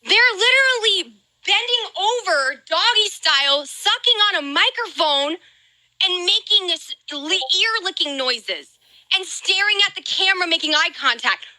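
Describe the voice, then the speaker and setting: A woman's voice: extremely high pitch, animated, measured pace, bright, forceful tone, dry recording, low-quality recording. A woman streamer ranting casually to her viewers, exasperated and emphatic, with some swearing. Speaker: live streamer.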